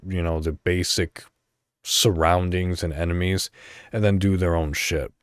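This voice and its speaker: A low-voiced man giving casual podcast commentary at an unhurried pace. His pitch moves around a lot as he thinks out loud in a loose, rambling way, and the sound is dry and close. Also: no echo. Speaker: podcast host.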